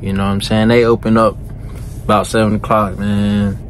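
A man's voice: lowish voice, little pitch movement, moderate pace, slight background noise. A man in his thirties, a truck driver vlogging late at night in his cab, talking in a laid-back, slangy way into a phone held close. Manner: laid-back, slangy, keeps saying "you know what I'm saying". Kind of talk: casual late-night vlog. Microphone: phone held close at arm's length.

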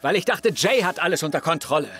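A man's voice: fairly high pitch, expressive, quick pace, fairly bright tone. A man playing an overwhelmed youngish schoolteacher in an audio drama, hesitant and self-justifying. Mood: flustered, stressed. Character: youngish male teacher, embarrassed, defensive, overwhelmed. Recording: music behind the voice.

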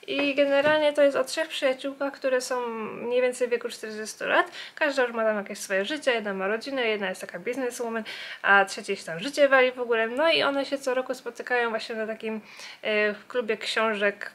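Female vlogger, higher-pitched voice, chatty and informal, talks straight to the viewer. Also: a little fast, a bit bright. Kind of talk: casual vlog chat.

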